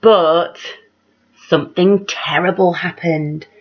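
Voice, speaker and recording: A woman reading a children's picture book aloud like a teacher, dramatic and measured, putting questions to her young listeners. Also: fairly low pitch, fairly even intonation. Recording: dull, lo-fi sound.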